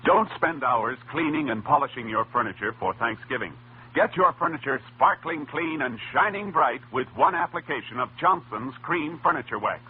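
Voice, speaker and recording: A man's voice: mid-range voice, slightly rough. An American man reading scripted advertising copy as a vintage radio announcer, in a persuasive sales delivery. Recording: muffled, tinny vintage radio recording.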